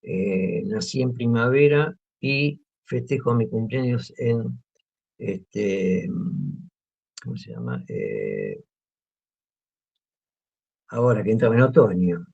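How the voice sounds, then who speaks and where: An Argentine man speaks in a gravelly, creaky voice, pausing often and restarting his sentences. The sound is muffled and lo-fi, as if from a modest interview recording. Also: on the low side, sing-song, darkish tone. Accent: Argentine Spanish. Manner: hesitant, many fillers and restarts. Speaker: guest artist. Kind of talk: relaxed interview talk. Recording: muffled lo-fi audio.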